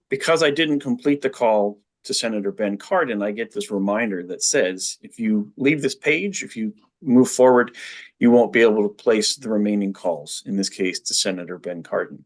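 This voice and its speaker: A man presenting a training webinar, explaining software step by step in a methodical way. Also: fairly low pitch.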